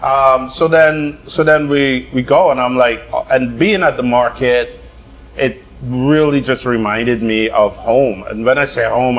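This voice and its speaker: Animated Guyanese-born man telling stories. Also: medium pitch, rough recording. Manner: animated storyteller, quick bursts, laughs often. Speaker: chef. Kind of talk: casual chat.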